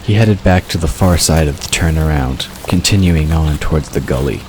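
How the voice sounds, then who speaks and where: A man's voice: extremely low pitch, moderate pace, a little mellow, slight background noise. A man reading scripted fiction narration as an audiobook narrator, in a storytelling style. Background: outdoor ambience and water sound effects.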